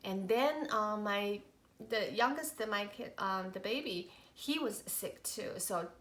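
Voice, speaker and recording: A woman in her thirties, a mother of three, chatting casually to camera in a halting, rambling way, her voice nasal from a cold. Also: medium pitch, animated, a bit bright. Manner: rambling, halting, many fillers and restarts. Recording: echoey room.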